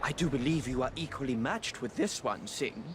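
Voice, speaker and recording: Man playing a genial, animated courtly gentleman. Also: mid-range voice, normal speed, heavy background noise, natural voice. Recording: crowd chatter behind the voice.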